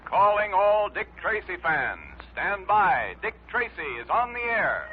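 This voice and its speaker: A man's voice: high voice, big pitch swings, unhurried. A man opening an old radio serial with urgent, proclaiming announcements. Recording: muffled old radio, heavy noise.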